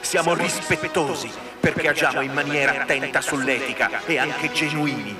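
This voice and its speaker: An actor playing the bombastic narrator of a triumphant company promotional film, declaiming slogans in a grandiose manner. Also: male voice, on the high side, steady tone, moderate pace, close to an everyday voice. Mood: grandiose, determined. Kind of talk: scripted promotional narration in radio drama. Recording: roomy echoing sound over music. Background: music.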